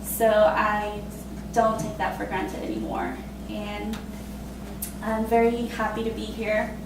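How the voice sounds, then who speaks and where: Woman, twenties, from Costa Rica, casual personal story, halting with fillers, distant mic, echoey room, background noise. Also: mid-range voice, monotone. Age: 20s. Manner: casual, halting, laughs often, many fillers. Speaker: immigrant telling her story. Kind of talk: personal spoken testimony.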